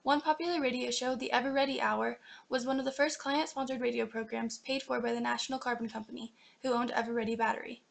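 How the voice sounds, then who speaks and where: A woman's voice: fairly high pitch, steady tone, fast, creaky, hardly any pauses. A young woman reading scripted documentary narration for a student history project.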